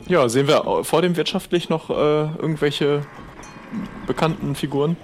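A man's voice: a little high, normal speed, faint music behind. A man improvising casual, tentative dialogue as a player in an audio role-play, often asking questions.

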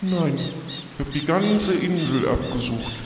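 A man's voice: a little high, animated. Man playing a timid ghost in echoey reverb. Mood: anxious. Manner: halting, plaintive, repeats words. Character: timid, lonely ghost from a bottle. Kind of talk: improvised role-play acting. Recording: echoey reverberant room with hiss.

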